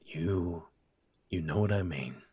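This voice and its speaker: A man playing a deep-voiced, husky middle-aged father. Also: slow pace, darkish tone, no room sound, full character voice. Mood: defensive. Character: gruff middle-aged human father, stiff and uneasy. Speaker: voice actor. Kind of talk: audio drama acting.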